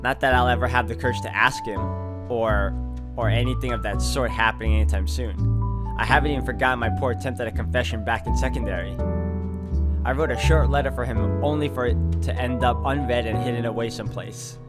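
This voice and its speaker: A man's voice: mid-range voice, steady tone, brisk, over loud music. A man reading first-person visual novel narration aloud as he plays through the game.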